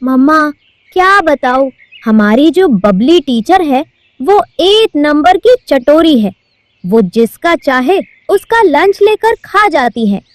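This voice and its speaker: A woman's voice: sits high, big pitch swings, slow, no echo, full character voice. A woman voicing a meek young boy pigeon student in a cartoon, childlike and pleading. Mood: whiny. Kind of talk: cartoon voice acting.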